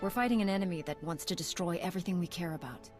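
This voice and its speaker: A woman's voice: fairly low pitch, rapid-fire, close to an everyday voice. An actress playing a steadfast female Jedi commander in a video game, earnest and firm. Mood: reassuring. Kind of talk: video game dialogue acting. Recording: music behind the voice.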